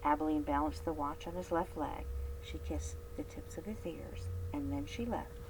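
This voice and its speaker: A woman librarian reading a children's chapter book aloud, expressive, dropping to whispers and adding chatty asides. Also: low-pitched, normal speed, background noise. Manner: friendly chatty commentary between passages.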